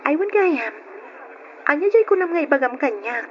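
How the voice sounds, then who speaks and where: Young sweetheart, high-pitched, coy, playful, dull old radio sound with faint noise. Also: female voice, expressive, normal speed. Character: young nursing student, coy, playful, dutiful daughter. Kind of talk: old radio drama acting.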